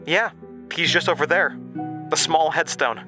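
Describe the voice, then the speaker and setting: A man voicing a gentle, wistful, free-spirited woman in an audio drama, speaking philosophically and hesitantly. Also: on the high side, a little slow, pitched above the performer's own voice, natural voice. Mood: gentle, curious. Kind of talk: quoted dialogue in audio drama. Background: music.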